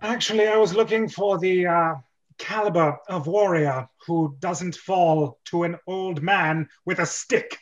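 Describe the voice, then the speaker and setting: Man playing a gruff old wizard, dull sound. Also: high-pitched, steady tone, unhurried. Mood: scornful. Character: gruff old male wizard, leader, dismissive. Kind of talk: amateur dramatic script reading.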